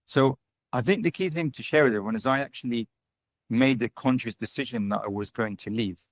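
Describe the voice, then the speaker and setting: A man's voice: medium pitch, a little fast. Man answering interview questions, chatty. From United Kingdom. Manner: chatty, tags points with "right?" and "you know". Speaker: guest, digital nomad and business owner.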